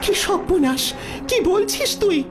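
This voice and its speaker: Casting: actress for grieving, resigned queen, high plaintive voice, melodramatic fairy-tale audio drama, music beneath. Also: very animated, unhurried, heavily acted. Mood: sorrowful, shocked. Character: sorrowful, resigned young queen, grieving mother. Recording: music under the voice.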